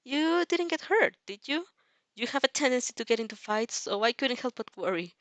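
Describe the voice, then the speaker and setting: A woman's voice: fairly high pitch, expressive, moderate pace, no room sound, pitched above the performer's own voice, close to an everyday voice. A woman voicing a shy, caring, anxious teenage boy in a fanfic dub, flustered and prone to stammering. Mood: worried, curious.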